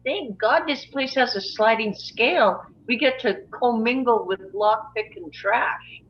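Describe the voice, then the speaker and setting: A woman's voice: a little high, unhurried, lightly acted. A woman playing a wry, sarcastic young psychiatric patient in a screenplay table read, with dull lo-fi sound. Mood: sardonic.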